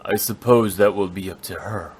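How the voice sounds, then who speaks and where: Game role: man doing a calm, formal elder with a low, measured delivery. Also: heavy background noise, lightly acted. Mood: composed.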